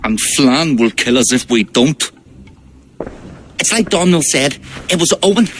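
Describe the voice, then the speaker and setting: Cornered Irish petty king, animated. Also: on the high side, a little slow, slight background noise. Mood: fearful confession. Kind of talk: cinematic video game acting.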